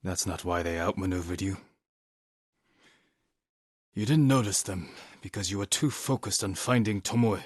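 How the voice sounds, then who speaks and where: A man playing a stoic, determined samurai warrior in a video game, with a husky, hushed voice and a terse, grave, measured delivery. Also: low-pitched, no echo, studio quality. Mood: grave, focused. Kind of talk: dramatic video game voice acting.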